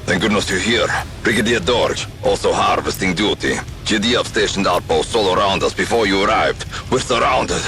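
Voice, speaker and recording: An actor playing a beleaguered army brigadier in a video game, reporting in an urgent, clipped military way. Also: male voice, low-pitched, lively intonation, normal speed, pushed and bright, faint music behind. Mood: urgent, relieved. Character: beleaguered male army brigadier, grateful for reinforcements. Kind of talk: video game radio briefing acting.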